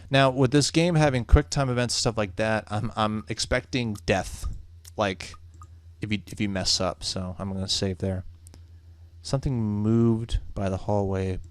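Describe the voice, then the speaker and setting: A man's voice: on the low side, steady tone, unhurried, clean tone, dry recording. A man giving game playthrough commentary, reading aloud expressively with offhand asides. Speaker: gaming video host.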